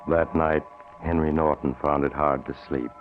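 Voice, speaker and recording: A man narrating a 1940s radio suspense story in a dramatic, deliberate manner. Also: very deep voice, little pitch movement. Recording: tinny old radio recording, music behind.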